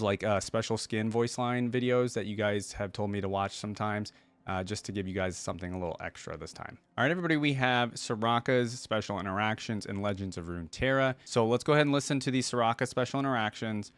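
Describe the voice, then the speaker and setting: A man's voice: mid-range voice, dry recording. A man hosting a reaction video, chatting informally to his viewers in casual commentary.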